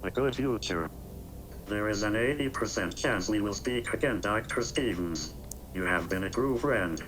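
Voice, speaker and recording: A man voicing a calm, courteous, quietly ominous artificial intelligence with measured, formal delivery in an analog horror story. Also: fairly low pitch, background noise, natural voice. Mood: calm, resolute. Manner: measured, formal, speaking in short deliberate statements. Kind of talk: analog horror scripted acting.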